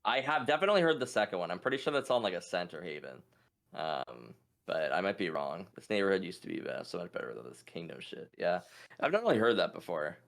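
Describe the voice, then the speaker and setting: A man's voice: deep voice, sing-song, fast talker, creaky, fairly bright tone. A man chatting casually as a quiz contestant, thinking aloud and hesitantly reasoning through his guesses.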